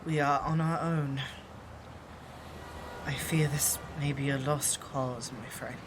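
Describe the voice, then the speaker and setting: A man's voice: mid-range voice, steady tone, lightly acted. A voice actor, a man, playing a solemn, loyal exiled shapeshifter who speaks formally and earnestly in an audio drama. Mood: solemn resolve. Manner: formal, measured, earnest speech. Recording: clear background noise and ambience.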